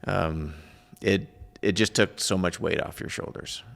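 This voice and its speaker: A man's voice: low voice, measured pace, gravelly, fairly bright tone, big-room sound. A middle-aged man answering interview questions casually, recalling his career in a plainspoken, matter-of-fact way. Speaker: entrepreneur, interview guest.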